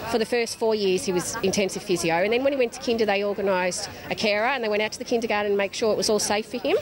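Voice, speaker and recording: Woman, Australian accent, rapid speech, informal parent interviewee, outdoors with a busy crowd behind. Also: medium pitch. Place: outdoors at a park event. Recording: outdoor event with crowd noise.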